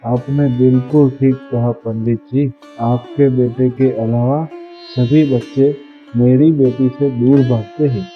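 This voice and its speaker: A man's voice: medium pitch, fairly even intonation, unhurried, narrow, tinny audio, faint music behind, lightly acted. A man playing a worried, loving merchant father in a Hindi moral story cartoon, speaking earnestly.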